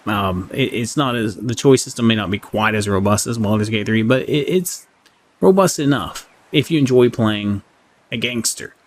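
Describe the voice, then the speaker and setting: A man giving casual, unscripted game review commentary in a halting, conversational way. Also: on the low side. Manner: halting, conversational, often checks in with "right?". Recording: clearly audible background noise.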